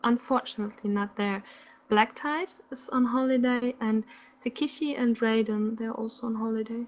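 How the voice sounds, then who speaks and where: A woman's voice: on the high side, normal speed. Chatty woman commentator, slightly under the weather. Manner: chatty, laughs often, uses "like" a lot. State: sick, slightly rough voice. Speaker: esports commentator. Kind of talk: casual live game commentary.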